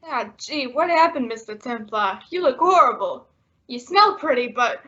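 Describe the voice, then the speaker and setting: A woman playing a loyal, wisecracking male cab driver in old-time radio style, excitable and folksy. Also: sits high, moderate pace. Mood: concerned. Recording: dull lo-fi audio.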